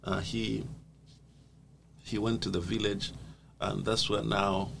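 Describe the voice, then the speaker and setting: A man's voice: on the low side, level tone, slow, gravelly. A man with a Zambian accent, earnest and thoughtful as he tells his life story in an interview. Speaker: guest. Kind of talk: personal life story in a conversation. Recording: noisy background.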